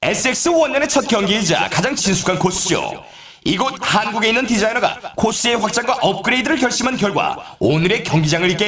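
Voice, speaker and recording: An actor voicing an excitable male snowboard race announcer in a video game, with a polished, showy scripted delivery. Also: high-pitched, roomy sound. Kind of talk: scripted game commentary, course introductions.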